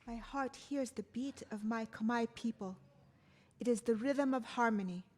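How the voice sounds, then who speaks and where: A woman's voice: on the high side, unhurried. A woman reading the part of a lovelorn, regal queen in a poetic play, solemn and declamatory. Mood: yearning, solemn. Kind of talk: play table read with poetic monologues.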